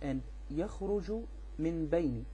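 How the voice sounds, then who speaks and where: A man with an Egyptian accent, a native Arabic speaker, giving an informal explanatory rebuttal in a halting, repetitive, insistent way. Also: on the high side, lively intonation, mellow, a little hiss or hum, poor audio. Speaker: amateur video responder on religion.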